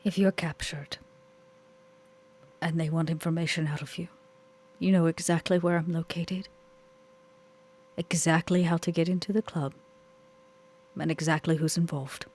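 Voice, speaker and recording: A woman playing a composed, persuasive female vampire club owner in a tabletop game, earnest and measured, with a breathy, husky voice. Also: deep voice, fairly even intonation, darkish tone. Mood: firm.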